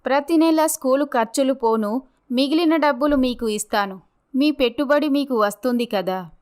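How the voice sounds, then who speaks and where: Woman acting a clear-voiced, dignified young mother. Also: high-pitched, normal speed, darkish tone, natural voice. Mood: grateful, resolved. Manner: polite, earnest. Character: sorrowful, grateful, dignified abandoned wife and young mother.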